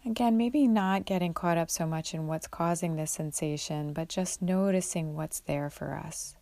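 Low-voiced woman leading guided meditation, creaky. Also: no room sound. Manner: gentle, unhurried, with long pauses. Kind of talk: guided mindfulness meditation, instructional.

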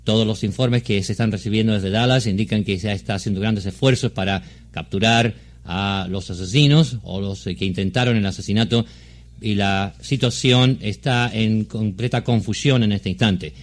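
A man with a lowish voice delivers a live news report. He is formal, with an occasional stumble over a word. It sounds like a 1960s shortwave radio broadcast. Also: fairly quick. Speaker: Spanish-language news reporter.

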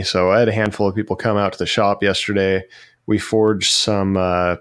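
A man's voice: deep voice, smooth, no echo, clean studio sound. A man from Florida chatting casually on a podcast, rambling and correcting himself as he goes. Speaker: podcast co-host, metalworker. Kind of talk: casual chat.

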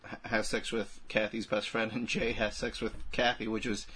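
A man's voice: on the low side, flat delivery, normal speed, pushed and bright, breathy edge. A man co-hosting a podcast, chatting casually about a film with friends in a rambling, crude way peppered with filler phrases. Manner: rambling, crude humor, frequent "like" and "you know". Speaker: podcast co-host.

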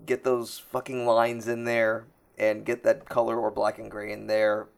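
A man born in South Korea, a tattoo artist guest, talking in a modest, laid-back, halting way and laughing often. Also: lowish voice, monotone, gravelly. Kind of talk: casual interview chat.

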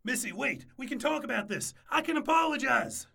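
Raspy, panicky, stammering coward. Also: male voice, very high voice, lively intonation, brisk, bright, forceful tone, heavily acted. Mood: panicked, pleading. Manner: stammering, repeating himself anxiously. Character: nervous, pleading, rambling cowardly ex-boyfriend. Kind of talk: improvised comic audio drama acting.

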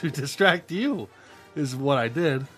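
A man's voice: medium pitch, lively intonation, measured pace. A man in casual banter, joking and defensively denying. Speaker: co-host reacting to rap videos. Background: loud music playing.

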